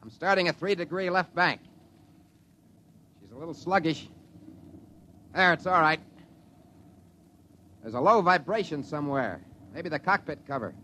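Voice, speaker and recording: A man acting a daring, excitable test pilot in a 1950s radio drama, giving clipped cockpit reports. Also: on the high side, normal speed, pauses often. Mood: brisk, focused. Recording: noisy 1950s radio recording, engine drone.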